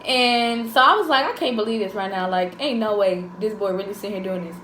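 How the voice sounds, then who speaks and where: Young woman, chatty, animated storyteller, echoey room. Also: mid-range voice, fairly quick, slight background noise. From Jackson, Mississippi. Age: 20s. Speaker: co-host of a couple's channel. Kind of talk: casual Q&A chat, storytelling.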